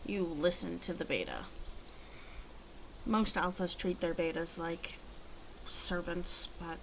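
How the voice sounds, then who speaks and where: A woman playing a protective, commanding female werewolf in an ASMR roleplay, talking slowly and deliberately to the listener in a soft, guarded way. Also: fairly low pitch, animated, a little hiss or hum, heavily acted. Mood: matter-of-fact, explaining.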